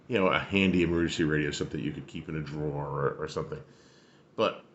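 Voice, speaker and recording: A man's voice: sits low, a little hiss or hum. A man giving a casual hands-on product review, rambling and thinking aloud as he goes. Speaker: radio reviewer.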